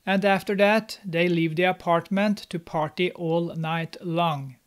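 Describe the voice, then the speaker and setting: A young man with a fairly high voice narrates a film recap, steady and scripted. His tone stays snarky and mocking as he pokes fun at the characters. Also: unhurried, clear, resonant tone. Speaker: movie recap host. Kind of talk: sarcastic movie recap narration. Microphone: studio mic, on camera.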